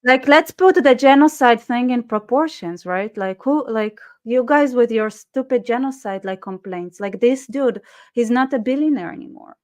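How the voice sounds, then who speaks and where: A woman's voice: fairly high pitch, moderate pace, a little mellow. Sarcastic woman full of "like"s. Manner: sarcastic, many "like" fillers. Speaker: guest. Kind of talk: casual live-stream chat. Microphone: online call.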